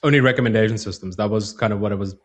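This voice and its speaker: A man hosting a podcast interview, asking relaxed, curious questions. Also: lowish voice, very fast. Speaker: podcast host.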